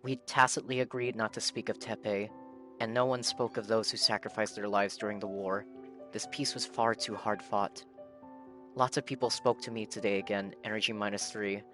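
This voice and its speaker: A man's voice: fairly low pitch, flat delivery, moderate pace, a bit bright. A man live-streaming a video game, giving casual reactions and reading game text aloud. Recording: game music behind the voice.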